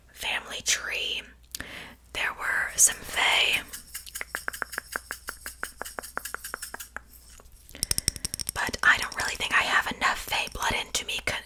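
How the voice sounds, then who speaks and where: Whispering, gossipy witch, played by an ASMR performer. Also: lightly acted. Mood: musing. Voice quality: breathy whisper. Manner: soft, chatty, casually menacing. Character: gossipy witch with fae blood, cooking her guest.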